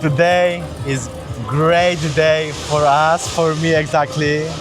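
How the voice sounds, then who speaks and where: A man with a polish accent co-presenting, polish being his first language. he speaks very slowly in plain, simple sentences at a middle pitch. music plays clearly behind him. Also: steady tone. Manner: slow, plain broken English with short Polish asides.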